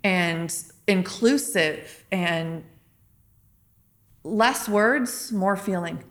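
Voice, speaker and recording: A woman's voice: mid-range voice, very slow, fairly bright tone. A woman hosting a podcast interview, chatty and enthusiastic, often affirming her guest. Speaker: podcast host. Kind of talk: casual interview.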